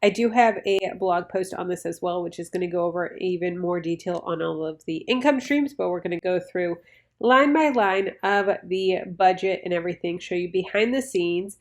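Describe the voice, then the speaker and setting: A woman with a slightly raspy, nasal, congested voice from flu, talking casually and explaining things as an online video creator. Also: medium pitch. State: sick with flu and bronchitis. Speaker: YouTuber reviewing her online business income. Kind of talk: casual explanatory vlog talk.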